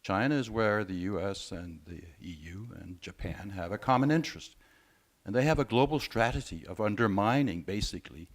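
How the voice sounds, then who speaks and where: A man speaking as a panelist at a conference discussion, measured and often hesitating. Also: on the low side, lively intonation. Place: conference room.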